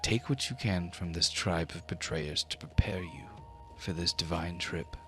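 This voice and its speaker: A man's voice: low-pitched, unhurried, pushed and bright, exaggerated voice. A man voicing a solemn prophetic mother deity of a giant tribe in a tabletop game, speaking in grand, ceremonial pronouncements. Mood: hushed, resolute. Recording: music under the voice.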